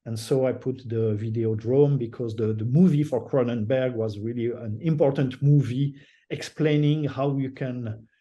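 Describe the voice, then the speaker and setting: Older French-accented guest, mellow tone, halting and self-correcting delivery. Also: male voice, medium pitch, highs cut off. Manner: halting, restarts phrases, laughs often. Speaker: guest artist. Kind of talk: casual interview over a call.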